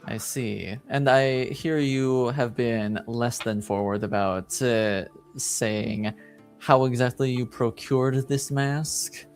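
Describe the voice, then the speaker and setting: A man playing a reserved, coolly skeptical nobleman in a tabletop roleplaying game, speaking formally and deliberately. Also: mid-range voice, fairly even intonation, faint music behind. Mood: coolly probing.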